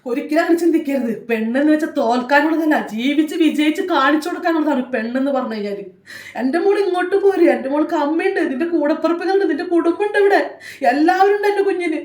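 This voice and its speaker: A woman's voice: sits high, fast, a little mellow, echoey room, far from the mic, lightly acted. A woman playing a loving mother who consoles her daughter over the phone and urges her not to give up. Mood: consoling, fierce. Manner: emotional, consoling, fiercely encouraging. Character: loving middle-aged mother, fierce and protective.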